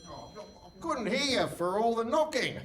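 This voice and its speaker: An actor playing a dim, eager, literal-minded police constable in a comic radio play performed on stage. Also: male voice, very high-pitched, sing-song, moderate pace, a bit bright, distant mic, heavily acted. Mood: flustered, deferential. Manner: bumbling, deferential, literal. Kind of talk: comic live radio theatre. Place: hall stage before live audience.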